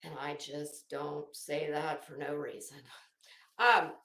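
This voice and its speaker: A woman ranting casually as an online host, blunt and sarcastic, swearing freely and halting to stretch words for emphasis. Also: deep voice, level tone, fairly bright tone. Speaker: YouTube host. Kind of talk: casual livestream rant. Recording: echoey room.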